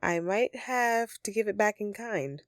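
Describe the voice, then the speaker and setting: A woman's voice: mid-range voice, expressive, unhurried, dry recording, studio quality. A woman playing a flustered, friendly Christmas elf secretly living as a roommate, speaking hesitantly and earnestly in an audio roleplay to the listener. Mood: grateful, affectionate. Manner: hesitant, sheepish, conversational and earnest.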